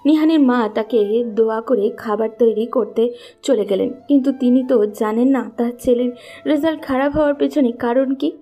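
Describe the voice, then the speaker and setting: A woman's voice: a little high, moderate pace, mellow, soft background music. A woman narrator reading a scripted audio story.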